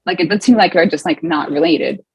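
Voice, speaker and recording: A woman's voice: fairly low pitch, normal speed, slightly rough, no echo. A young woman telling a personal story as a panelist, with heavy use of "like.". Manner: chatty, filler-heavy storytelling with "like". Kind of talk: online panel discussion.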